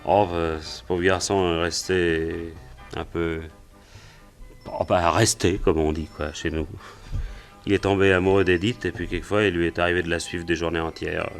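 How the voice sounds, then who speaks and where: A nervous, plain-spoken male witness in a radio crime drama. His voice is deep, and he talks colloquially with many hesitations. Music plays faintly behind him. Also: little pitch movement, normal speed. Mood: hesitant. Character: Burns, anxious plain-spoken working man, a murder witness.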